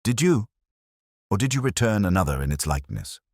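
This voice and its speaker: A man voicing a Victorian male detective in an audiobook, cool, cryptic and shrewd, speaking in deliberate, commanding aphorisms. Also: fairly low pitch, big pitch swings, no echo, clean studio sound, pitched above the performer's own voice. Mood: shrewd, probing.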